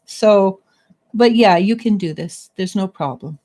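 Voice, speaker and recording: A middle-aged woman giving a casual psychic reading, halting and restarting as she searches for words. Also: mid-range voice, warm tone. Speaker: psychic reader. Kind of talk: casual spiritual reading and chat.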